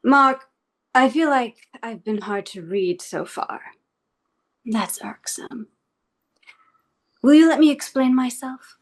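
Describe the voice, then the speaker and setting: A woman acting as an eerily composed, menacing interrogator in a script table read, speaking formally and deliberately. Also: sits high, lively intonation, full character voice. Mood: controlled menace. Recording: music playing behind the voice.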